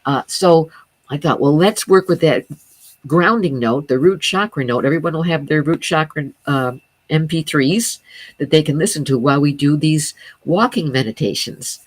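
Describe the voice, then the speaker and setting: Woman, low voice, sound healing teacher as guest, earnest explainer, ums and restarts, dry close sound. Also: moderate pace, no room sound. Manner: earnest, steady explaining with frequent fillers and restarts.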